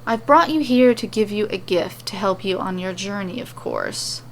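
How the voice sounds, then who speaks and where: Through clear noise comes a solemn male monk read by a woman in a storybook tale. He is stern, measured and formal, with no humor. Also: mid-range voice, lively intonation, natural voice. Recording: clearly noisy recording.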